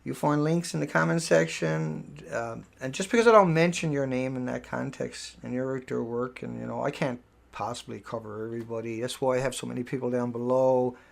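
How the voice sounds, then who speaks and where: A man's voice: medium pitch, steady tone, fairly quick, vocal fry. A middle-aged man hosting a live stream, talking casually and emphatically, rambling and repeating himself.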